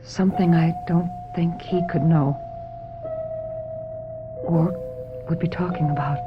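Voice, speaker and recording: An actress playing a troubled, lovesick young woman, earnest and halting, confiding as she narrates a radio drama. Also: on the low side, fairly even intonation. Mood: wistful confession. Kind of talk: radio drama acting, confessional first-person narration. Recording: dull lo-fi radio drama, music underneath.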